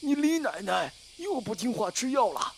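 An old village grandpa played by a man in an audio drama, kindly and familiar. His delivery is unhurried but moves widely in pitch, over a faintly noisy recording. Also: sing-song, gravelly, exaggerated voice. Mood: fond, nagging. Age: older.

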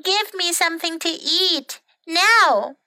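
A woman as a high, whiny little storybook bird. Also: very animated, slow pace, bright, forceful tone, no echo, heavily acted. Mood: demanding, dramatic. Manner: whiny, demanding, dramatic. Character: fussy, melodramatic hungry little bird.

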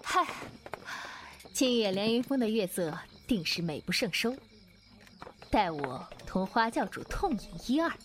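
Actress as a bantering, wistful Taoist swordswoman, unhurried. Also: on the high side, big pitch swings, background noise. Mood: wistful, gallant. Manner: hesitant, roundabout, bantering. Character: easygoing Taoist swordswoman, apologetic, playful, secretly lovelorn. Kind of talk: Chinese wuxia audio drama acting.

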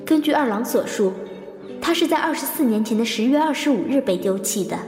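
An actress playing a composed, probing woman investigator in an audio drama, measured and introspective as she recalls a fateful case. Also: a little high, expressive, darkish tone, close to an everyday voice. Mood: reflective. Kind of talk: audio drama narration and dialogue. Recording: echoey long reverb, music behind.

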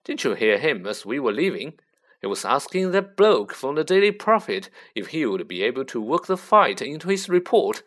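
A man's voice: fairly high pitch, sing-song, moderate pace, fairly bright tone. A man voicing a cheeky teenage boy in a storybook reading.

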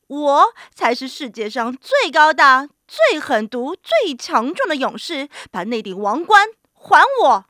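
A towering storybook bully of a giant, played by a woman. The voice bellows with menace, bright and hard-edged, stressing words in big animated swings. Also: mid-range voice, a little slow, gravelly, few pauses, no room sound, studio quality, voice pushed down, exaggerated voice. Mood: furious. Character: huge, nasty, bullying giant man, roaring threats.

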